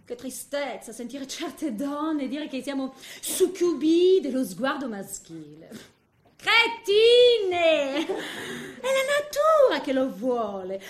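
Vain, high-pitched, swooping coquette, echoey. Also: female voice, big pitch swings, slow pace, heavily acted. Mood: haughty. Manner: affected, theatrical, dotted with French interjections. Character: vain, coquettish middle-aged woman hiding her age. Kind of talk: comic stage monologue. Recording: roomy, echoing stage sound.

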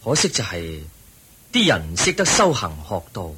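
A low-voiced, serene male spiritual teacher in a Cantonese radio drama. He instructs in a measured Hong Kong Cantonese, with lively rises and falls. A faint noise sits behind the voice. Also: heavily acted. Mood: serene, instructive.

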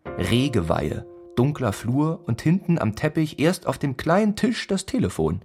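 An actor narrating a grimly comic village tale in a literary radio play, in a rhythmic, repetitive manner. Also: male voice, medium pitch, big pitch swings, measured pace, darkish tone, faint music behind. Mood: grim, insistent. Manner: incantatory, repeats phrases rhythmically. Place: studio radio play production.

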